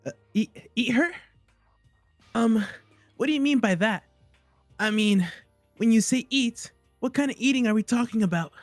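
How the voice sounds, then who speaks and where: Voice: medium pitch, normal speed, pauses often, no echo, studio quality, soft background music, close to an everyday voice. An amateur fan-dub performer playing a flustered, sleazy-minded young man who stammers awkwardly. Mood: flustered, leering.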